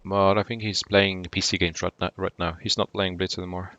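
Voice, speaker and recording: A man from Poland with a Polish accent, streaming a game and chatting with viewers in a relaxed way, often pausing to think. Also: sits low, no room sound, highs cut off. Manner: relaxed, thinking aloud with fillers. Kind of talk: gameplay commentary and chat replies.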